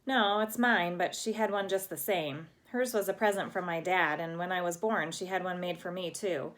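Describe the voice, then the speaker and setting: A woman's voice: fairly low pitch, normal speed, a bit bright, lightly acted. A woman voicing a thoughtful young girl from a storybook who speaks in an earnest, matter-of-fact way.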